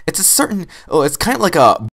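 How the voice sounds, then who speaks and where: Man acting out an excitable, gushing cartoon rat gourmet. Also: high voice, fast, gravelly, heavily acted. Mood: thrilled. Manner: gushing, stammering with enthusiasm. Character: excitable male rat gourmet, passionate and fussy about food. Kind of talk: animated film fandub acting.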